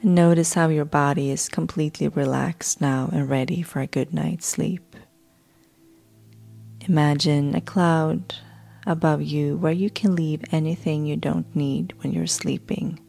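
A low-voiced woman leads a guided sleep meditation as a podcast host. She speaks very slowly and soothingly, with a creaky edge and soft, breathy phrase endings. Music plays behind her. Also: steady tone. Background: background music.

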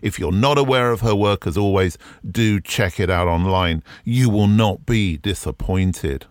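Low-voiced man hosting a podcast. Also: measured pace, no echo, studio quality. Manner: conversational, reflective, with frequent ums. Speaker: podcast host. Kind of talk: casual podcast monologue. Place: garden shed.

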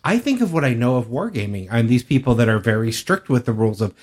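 Medium-pitched man in casual podcast talk. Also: animated, fast talker. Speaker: podcast co-host. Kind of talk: casual discussion.

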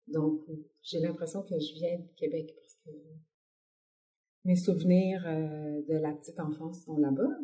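Mellow-voiced Québécois woman, hesitant. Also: deep voice, fairly even intonation, measured pace. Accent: Québécois French. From Quebec, Canada. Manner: hesitant, many fillers. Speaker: guest artist. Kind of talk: casual interview talk.

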